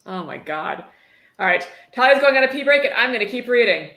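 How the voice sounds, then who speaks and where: A woman hosting a casual online livestream, chatting and reading aloud with animated, sarcastic delivery. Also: a little high, a little fast. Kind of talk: casual chat with read-aloud passages. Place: indoors on a video call. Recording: roomy, echoey sound.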